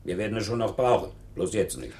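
An actor playing a curt, impatient newspaper editor who commands with authority in a German radio play. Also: male voice, fairly low pitch, very animated, fast, a little mellow. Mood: secretive, firm. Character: irritable, commanding newspaper editor, male boss.

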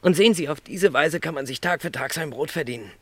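A man's voice: a little high, fast, no room sound, natural voice. An actor playing a lonely, contented travelling salesman who is no longer young, speaking modestly and politely in a radio play. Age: middle-aged.

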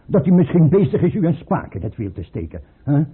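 A man playing a suspicious Russian emperor in a period radio play, erratic and husky, swinging from muttered questions to shrill commands. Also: very animated, a little fast, exaggerated voice. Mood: insistent, confiding. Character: volatile, suspicious Russian emperor, imperious yet pleading. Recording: muffled, tinny old radio recording.

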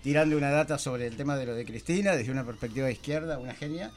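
An Argentine man hosting a radio talk show, speaking informally and haltingly as he searches for words in a discussion. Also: medium pitch, crowd noise. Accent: Argentine Spanish. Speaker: radio host. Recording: music under the voice. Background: music.

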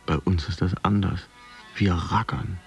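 Deep, creaky, dogged company worker. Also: male voice, unhurried. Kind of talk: radio drama monologue. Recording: dull sound with faint music behind.

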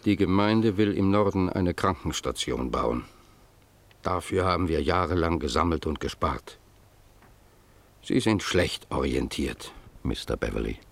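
An actor playing a principled village pastor in a German radio play, speaking in a solemn, measured, formal way. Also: male voice, low voice, expressive, vocal fry, full character voice. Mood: solemn, reproachful. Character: grave, principled village pastor, earnest and steadfast.

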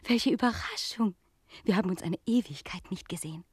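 A woman's voice: mid-range voice, fairly quick, husky, no echo. An actress in a German radio play voicing a scheming, worldly noblewoman with a theatrical, insinuating manner. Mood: gushing surprise. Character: scheming aristocratic French lady, cool, worldly, feigning friendship. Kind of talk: German radio drama acting.

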